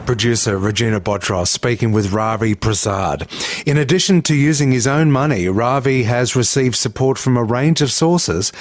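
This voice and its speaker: A man's voice: mid-range voice, moderate pace, a little hiss or hum. A man with an Australian accent reading a scripted radio presentation as a programme host. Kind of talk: scripted radio back-announcement.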